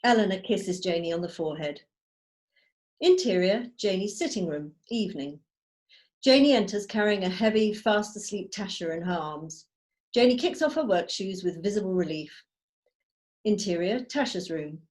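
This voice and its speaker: A woman reading out screenplay stage directions as measured, storytelling narration. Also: mid-range voice, animated, darkish tone. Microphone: video call.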